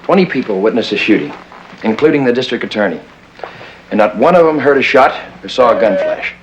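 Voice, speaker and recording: An actor playing a cool-headed newspaper publisher, speaking in a clipped, commanding way in a 1960s TV crime drama. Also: male voice, mid-range voice, lively intonation, brisk, slight background noise, close to an everyday voice, rough recording. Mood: puzzled. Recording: vintage TV soundtrack, faint music.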